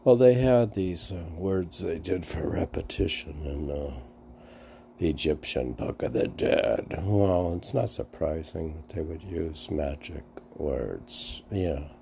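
A man talking casually in a halting, musing, repetitive way and reciting poetry as a podcast host, on an amateur recording. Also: low-pitched, vocal fry, mellow, a little hiss or hum. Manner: halting, musing, repeating phrases. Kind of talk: rambling casual talk and poem recitation.

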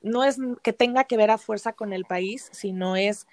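A woman's voice: mid-range voice, moderate pace, darkish tone, dry recording. Mexican woman explaining, close dry sound. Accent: Mexican Spanish. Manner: explanatory, often ends phrases with tag questions. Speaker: interview guest, flower remedy practitioner and teacher. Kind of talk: conversational explanation in an interview.

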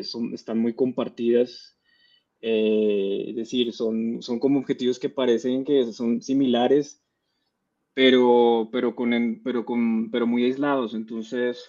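Man with a swollen mouth after dental surgery, mellow and monotone, halting, on dull lo-fi call audio. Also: mid-range voice. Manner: halting, repeats words. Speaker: guest. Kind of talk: casual interview answers.

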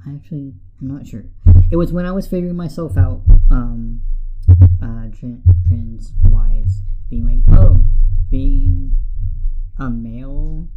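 A young man co-hosting a casual podcast, talking haltingly with many fillers and restarts. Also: medium pitch. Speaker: podcast co-host. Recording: tinny phone-like sound, faint music.

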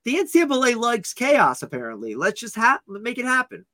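A man's voice: very high-pitched, wildly swooping pitch, bright, forceful tone, no echo, studio quality. A man co-hosting a sports podcast, chatting casually in an upbeat, joking way. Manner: upbeat, joking, quick asides. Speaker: podcast co-host.

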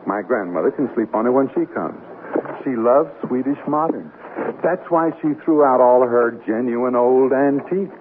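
A man's voice: mid-range voice, expressive, normal speed, natural voice. An actor playing a wry, middle-aged American newlywed businessman with a dry, wisecracking delivery in a radio comedy drama. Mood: wry, cheerful. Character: newlywed businessman husband, wry, playful, mildly jealous. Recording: tinny, noisy old radio broadcast recording.

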